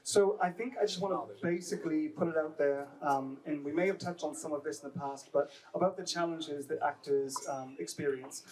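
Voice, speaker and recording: A man's voice: fairly high pitch, brisk, few pauses, darkish tone. A man moderating a festival panel, speaking in rambling, hesitant phrases full of fillers. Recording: distant mic.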